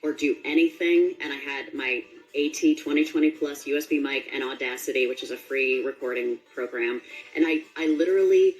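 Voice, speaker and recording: A woman's voice: extremely low pitch, brisk, soft background music, rough recording. A woman voice actor talking casually in an interview.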